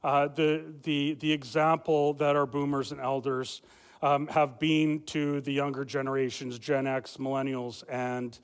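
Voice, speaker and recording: A young adult man, a family pastor, preaching a loud, lively sermon with many fillers and restarts. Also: mid-range voice, measured pace, smooth. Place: church sanctuary. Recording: reverberant church hall.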